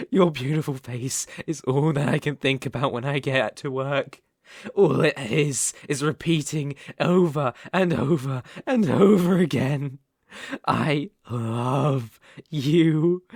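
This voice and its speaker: A British man playing an obsessive, menacing young boyfriend in an intimate ASMR roleplay, coaxing softly with slow, deliberate emphasis. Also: a little high, vocal fry, dry recording, clean studio sound, exaggerated voice. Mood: feverish, adoring. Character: obsessive, possessive, menacing young boyfriend holding partner captive.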